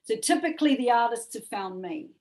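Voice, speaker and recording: Woman, New Zealand accent, high voice, earnest chatty interview guest, middle-aged. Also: expressive, fairly quick, a little mellow. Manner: chatty, earnest, repeats words and adds "right?". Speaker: music manager guest. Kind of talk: casual interview chat.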